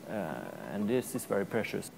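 A Norwegian man in his thirties with a lowish, gravelly voice full of fry. He answers slowly, searching for his words, over a faint hum of room noise. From Norway. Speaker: cellist. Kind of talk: interview answers. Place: museum gallery.

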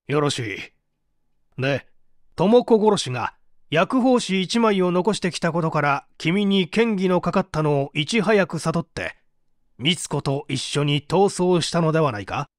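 Man acting a stern, highly expressive prosecutor. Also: unhurried, no echo, clean studio sound. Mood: probing, measured. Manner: authoritative, pressing. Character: stern male prosecutor, relentless, accusing interrogator. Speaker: audiobook reader. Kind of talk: dramatised reading of a mystery story.

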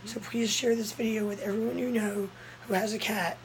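A young woman with a hoarse voice, telling a story in a casual vlog, urgent and breathless, filmed close up on a phone in a small room indoors. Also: mid-range voice, steady tone, a little fast, a crowd in the background, rough recording. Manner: breathless, urgent retelling of a scare. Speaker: cat vlogger. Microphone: phone camera held close, low angle.

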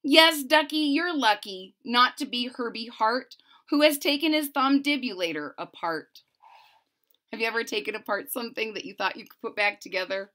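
A woman's voice: fairly high pitch, moderate pace, bright, forceful tone. A woman in her forties reading a children's picture book aloud expressively, stressing key words theatrically and adding casual asides. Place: small room at home.

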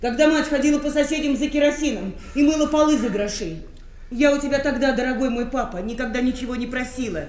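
A woman's voice: sits high, fast, darkish tone, echoey room, natural voice. A woman playing a bitter grown daughter. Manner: tense, reproachful. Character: strained grown daughter, bitter, ashamed of father. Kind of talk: 1990 radio play acting.

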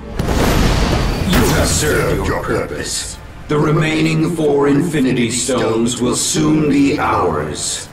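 Very slow, echoing godlike villain. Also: male voice, mid-range voice, very animated, over loud music. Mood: gloating. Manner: grandiose, deliberate, menacing. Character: imperious, godlike villain gloating over foes. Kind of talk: theatrical video game dialogue.

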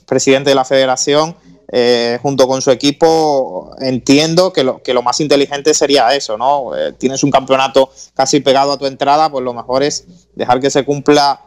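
A man's voice: medium pitch, moderate pace. A man on a sports talk radio show, weighing in with frequent repeats and restarts. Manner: hesitant, repeats words, tags with "¿no?".

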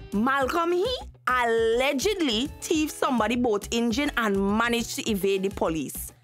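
High-pitched Caribbean woman narrator. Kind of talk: gossipy scripted recap narration.

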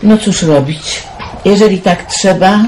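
A woman playing a kindly older aunt in a radio drama, gentle and matter-of-fact, laughing easily. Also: mid-range voice, a little slow, natural voice. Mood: hospitable. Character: older aunt, kindly, brave despite fear. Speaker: aunt Kazia. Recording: noisy radio play audio.

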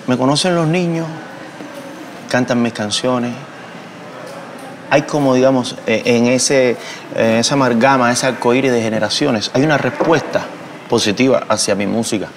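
A man's voice: mid-range voice, slight background noise. A Cuban musician in his thirties answering interview questions in a reflective, hesitant way, with a Cuban Spanish accent, filmed seated indoors by a large window. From Cuba. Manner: reflective, hesitant with fillers and restarts, expressive hand gestures.

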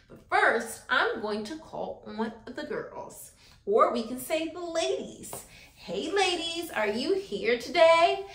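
An American woman leads a children's circle time in a high voice. She speaks slowly and encouragingly, with simple questions and deliberate pauses. The room adds a noticeable echo around her words. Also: fairly bright tone. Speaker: preschool teacher. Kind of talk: children's circle time lesson, addressing young viewers directly. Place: small classroom-style room, indoors. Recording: roomy indoor echo.

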